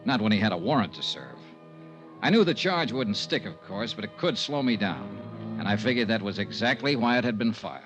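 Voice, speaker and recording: An actor playing a wry, dogged insurance investigator in a 1950s radio drama, with a clipped, hard-boiled delivery. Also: male voice, on the low side, very animated, fairly quick, muffled recording. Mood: brisk, matter-of-fact. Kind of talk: 1950s radio drama acting and first-person narration. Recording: old radio broadcast with music.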